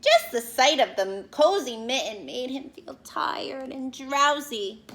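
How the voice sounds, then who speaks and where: A woman reading a picture book aloud to young children in an expressive, teacherly way, pausing to explain and ask questions. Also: high voice.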